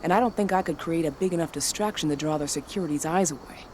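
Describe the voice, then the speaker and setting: An actor playing a pragmatic, supportive rebel comrade and tech saboteur, casual and frank, in a video game cutscene. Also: male voice, fairly high pitch, quick pace, darkish tone, a little hiss or hum, natural voice. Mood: businesslike.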